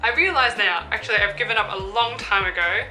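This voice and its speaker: A woman's voice: mid-range voice, a little fast, bright, forceful tone, low-quality recording. A woman, chatting casually through a Q&A vlog and thinking aloud with fillers. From Australia. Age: 20s. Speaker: YouTuber. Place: room with an open window. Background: background music.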